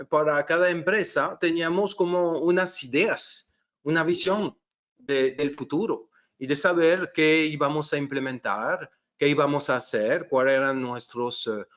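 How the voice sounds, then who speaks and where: French guy hosting a webinar, slightly high voice, lots of eh and restarts, muffled call audio. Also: fairly bright tone. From France. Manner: hesitant, many "eh" fillers and restarts. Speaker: webinar presenter. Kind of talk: webinar presentation.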